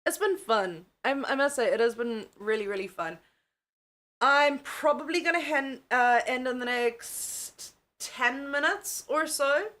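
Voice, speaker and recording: Chatty young south african woman on a livestream, giggly, swears a lot, kinda high voice, sounds tired. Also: measured pace, a bit bright. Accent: mixed South African. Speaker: livestream host. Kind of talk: casual live chat with viewers.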